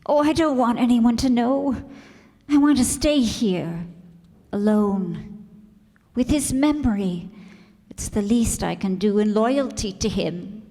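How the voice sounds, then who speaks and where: A woman plays a devoted, reclusive war widow in a radio play. Her voice is a little high and unhurried, formal and wistful, carrying through a reverberant hall. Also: mellow. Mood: wistful, sorrowful. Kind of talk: old-time radio drama acting. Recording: reverberant hall stage recording.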